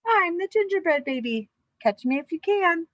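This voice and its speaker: A high, brash little gingerbread boy from a storybook, acted out by a woman. He sings out his taunts in a gleeful, cheeky singsong. The recording is close and dry. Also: lively intonation, normal speed, a bit bright, no echo, highs cut off. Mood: gleeful, taunting.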